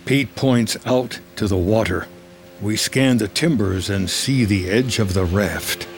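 A man's voice: on the low side, unhurried, a little hiss or hum. A man with an American accent reading scripted screenplay narration in the present tense.

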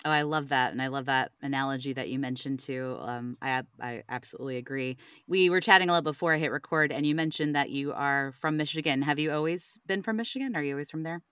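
A woman's voice: extremely low pitch, fast talker, few pauses, fairly bright tone, smooth, no room sound, studio quality. A woman from Cleveland, Ohio, hosting a Bible podcast, friendly and affirming with easy laughs. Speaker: podcast host. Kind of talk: casual interview on a Bible podcast.

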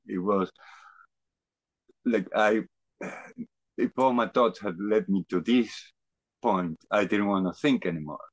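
Deep-voiced man from Argentina, halting and reflective. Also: no room sound, rough recording. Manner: halting, reflective, searching for words. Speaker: interview guest. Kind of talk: personal testimony in conversation.

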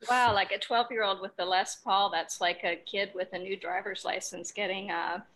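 Woman hosting with a medium-pitched voice. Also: steady tone, moderate pace, a bit bright, low-quality recording. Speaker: show host, interviewer. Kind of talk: casual music interview.

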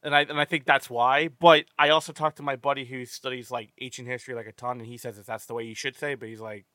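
A man's voice: medium pitch, flat delivery, quick pace, sharp tone, no echo. A man hosting a casual movie-review podcast, rambling with many stammered restarts and free swearing. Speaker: podcast host.

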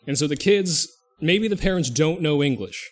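A man's voice: a little high, expressive, normal speed. Rambling man thinking aloud. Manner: rambling, thinks aloud, restarts and self-corrects often. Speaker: podcast co-host. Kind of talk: casual podcast chat.

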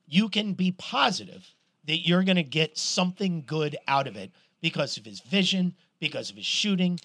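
A middle-aged man co-hosting a podcast, telling stories in an animated, swearing, casual way. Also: fairly high pitch, normal speed, no room sound. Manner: animated storyteller, swears, restarts a lot. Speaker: podcast co-host. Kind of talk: casual sports chat.

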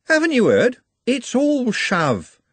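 A cocky young lad struts through this storybook scene, as a male reader puts him on. He talks high and boastful, with big swoops of pitch. A husky, dry close recording. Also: wildly swooping pitch, takes it slowly, a bit bright, dry recording, full character voice.

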